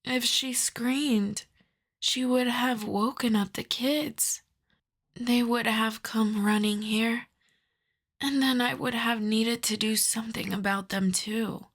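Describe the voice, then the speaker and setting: A woman voicing a seductive, possessive female vampire in an intimate ASMR roleplay, speaking softly and teasingly, often in a half-whisper. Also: on the high side, steady tone. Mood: coolly menacing. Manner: slow, soft, breathy half-whispered teasing delivery.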